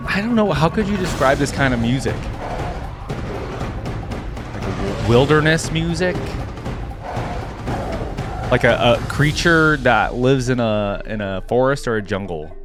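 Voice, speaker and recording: A man giving casual, off-the-cuff reaction commentary as a video host, rambling as he thinks out loud. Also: mid-range voice, animated, a little slow, long pauses, over music.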